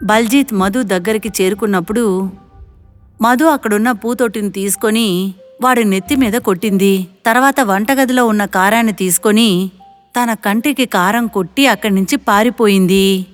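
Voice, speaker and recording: A woman's voice: mid-range voice, moderate pace, faint music behind. A woman narrator reading a scripted moral story.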